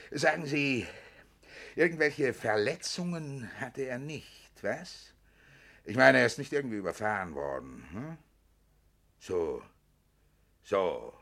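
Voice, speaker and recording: An actor playing a blustering, suspicious Nazi-era farm official in a 1950s German radio play. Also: male voice, mid-range voice, pushed and bright, heavily acted. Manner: blustering, officious, insistent questioning.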